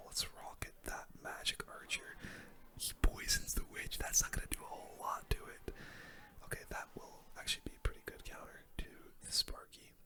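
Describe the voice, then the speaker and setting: A person giving casual, slangy ASMR gaming commentary in a soft, unvoiced whisper. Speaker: gaming video host.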